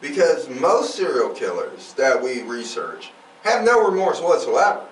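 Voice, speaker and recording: Guy with a high voice hosting a true crime pod, casual and sweary, echoey room, bit far from the mic. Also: very animated, a little slow. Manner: emphatic, profane. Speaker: true crime podcast host. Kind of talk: casual conversational storytelling. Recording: roomy, distant mic, faint noise.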